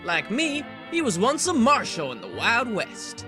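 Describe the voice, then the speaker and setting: A man's voice: extremely high pitch, huge pitch swings, measured pace, over music, exaggerated voice. A man acting a brash, confident Wild West lawman turned saloon businessman in a fan comic dub. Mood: businesslike.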